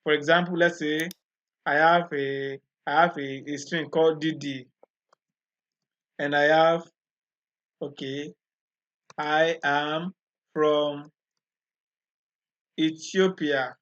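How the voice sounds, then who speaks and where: A man with a slightly high, bright voice talks slowly and patiently through a coding tutorial. He explains each step and often repeats himself. The recording sounds dull and lo-fi. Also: level tone. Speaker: programming tutor. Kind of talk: screen-recorded coding walkthrough.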